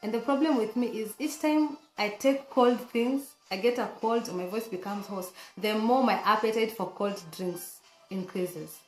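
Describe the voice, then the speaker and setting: A woman with a hoarse voice, talking casually and earnestly to camera as a vlogger, with frequent fillers and restarts. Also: on the high side, normal speed, hardly any pauses, roomy sound, faint music behind, low-quality recording.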